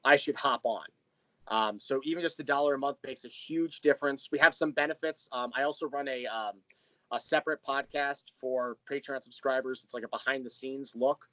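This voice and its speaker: A man's voice: mid-range voice, fairly quick, pushed and bright, no room sound, low-quality recording. A man talking casually and animatedly as a podcast guest and creator, telling about his work with enthusiasm. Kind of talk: casual interview chat.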